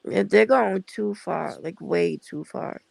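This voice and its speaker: Woman, laid-back stream guest, very gravelly and unhurried. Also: fairly low pitch, a little mellow, dry recording, poor audio. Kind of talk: casual live chat.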